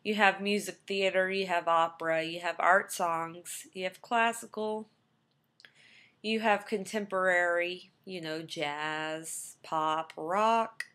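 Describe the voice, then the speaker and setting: A woman's voice: lowish voice, fairly even intonation, takes it slowly, fairly bright tone. A young woman, a trained singer, talking casually about her background to a webcam, with plenty of ums and restarts. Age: young adult. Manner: rambling, many ums and restarts.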